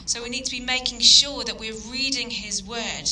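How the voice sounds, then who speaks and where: A woman from Yorkshire with a Yorkshire accent giving an earnest evening sermon, full of ums and pauses. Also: fairly high pitch, pushed and bright, slight background noise. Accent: Yorkshire English. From Yorkshire, England. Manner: earnest, hesitant with frequent ums. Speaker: guest speaker.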